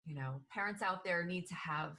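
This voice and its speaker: A woman's voice: lowish voice, moderate pace, pushed and bright. A woman with a New Jersey accent, hosting a podcast in a rambling casual chat full of restarts and fillers. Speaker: podcast host.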